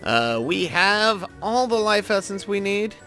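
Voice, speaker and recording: Casual male gamer, slightly high-pitched voice. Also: sing-song, measured pace, sharp tone, faint music behind. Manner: rambling, thinking aloud, talking to viewers. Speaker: gaming video host. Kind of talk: casual Minecraft let's-play commentary.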